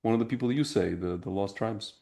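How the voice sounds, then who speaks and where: A man's voice: on the low side, fast, gravelly, dark tone. A man co-hosting a podcast, speaking hesitantly and often giving short agreements. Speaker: podcast co-host. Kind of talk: casual discussion.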